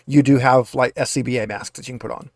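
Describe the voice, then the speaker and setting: A man's voice: medium pitch, steady tone, fast talker, gravelly, darkish tone, dry recording, clean studio sound. A man speaking as a game master, explaining rules and narrating in a casual, conversational way.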